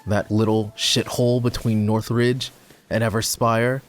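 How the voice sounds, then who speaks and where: A man playing a proud, bitter lowborn knight and war hero in a dramatic fantasy roleplay audio, with a sardonic, scornful manner. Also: little pitch movement, measured pace, slight background noise, close to an everyday voice. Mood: defiant, scornful. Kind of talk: fantasy roleplay ASMR voice acting.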